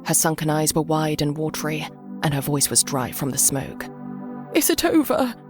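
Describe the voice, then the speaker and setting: A woman's voice: deep voice, little pitch movement, normal speed. A woman reading a dramatic story as an audiobook narrator. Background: background music.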